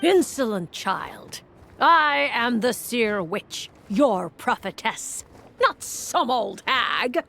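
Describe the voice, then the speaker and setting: Unhurried, imperious seer witch. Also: on the high side, lively intonation, gravelly, sharp tone, exaggerated voice. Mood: affronted. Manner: grand, solemn, imperious. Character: proud, mysterious seer witch, easily affronted prophetess. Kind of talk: video game voice acting, theatrical.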